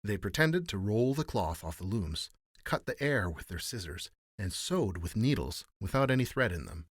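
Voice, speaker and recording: A man reading a fairy tale as a storyteller, in expressive, formal, theatrical narration. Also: on the low side, normal speed, husky, dry recording, studio quality.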